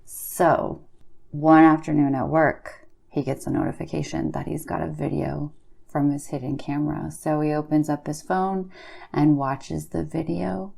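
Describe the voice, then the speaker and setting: A woman's voice: sits low, fairly even intonation, measured pace, creaky, a little mellow, breathy edge, exaggerated voice. A woman playing a blunt, casual creepy-story teller, offhand and slangy in her banter. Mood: offhand, spooky. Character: Bee, blunt, slacker-casual woman trading creepy stories. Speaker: podcast co-host.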